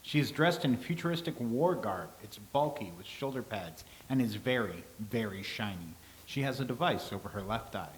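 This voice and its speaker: A man's voice: lowish voice, animated, moderate pace, few pauses, a little hiss or hum, rough recording. Man reading stage directions.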